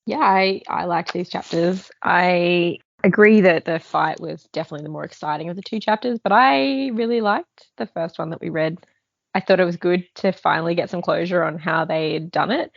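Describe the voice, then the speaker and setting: A woman's voice: lowish voice, normal speed, creaky, dry recording. A woman co-hosting a podcast, chatting casually and enthusiastically about books. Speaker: podcast co-host. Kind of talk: casual book discussion. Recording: dull, band-limited sound, dry.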